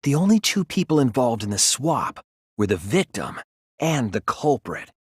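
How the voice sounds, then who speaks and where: A man voicing an earnest young male detective in a video game, thoughtful and anguished but resolute, with deliberate, analytical phrasing. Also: mid-range voice, sing-song, breathy edge, no room sound, studio quality. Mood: analytical, serious. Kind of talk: dramatic video game voice acting, deductive explanation.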